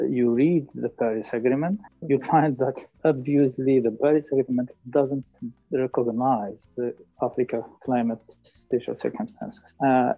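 A man from Algeria with an Algerian accent, giving explanatory interview answers as an expert guest, emphatic and deliberate. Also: medium pitch, dry recording. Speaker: climate policy consultant, interview guest. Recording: thin phone-like call audio, faint music.

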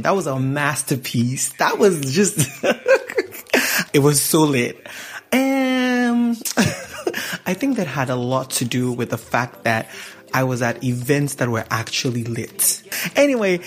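A young man from Ghana hosting a podcast, talking casually and laughing often. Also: on the high side. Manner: chatty, laughing, animated asides. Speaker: podcast host. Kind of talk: casual monologue.

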